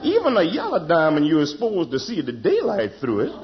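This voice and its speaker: A man's voice: fairly high pitch, huge pitch swings, fairly quick, a crowd in the background. A man playing a frank, unimpressed pawnbroker with dry mockery in a 1940s radio sitcom. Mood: dryly mocking. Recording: muffled, noisy vintage radio recording with clicks.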